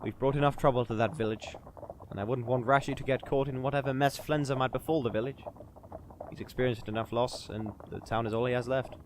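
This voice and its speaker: A man acts a sceptical, grumbling adventurer in a fantasy comedy audio play. His voice is medium in pitch and sardonic, with faint noise in the background. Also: steady tone, a little fast, lightly acted. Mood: reflective, dry. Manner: dry, sardonic.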